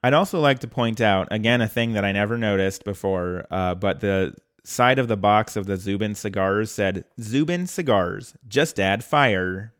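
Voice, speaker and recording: Guy on a podcast, deepish smooth voice, casually going through an episode, no room sound. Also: moderate pace, hardly any pauses, no room sound, clean studio sound. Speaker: podcast co-host. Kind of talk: casual episode recap chat.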